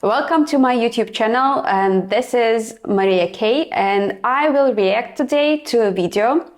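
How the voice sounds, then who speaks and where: A woman's voice: a little high, unhurried. Russian-accented woman hosting a chatty reaction video. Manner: chatty, hesitant with frequent fillers. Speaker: reaction channel host. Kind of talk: casual reaction commentary.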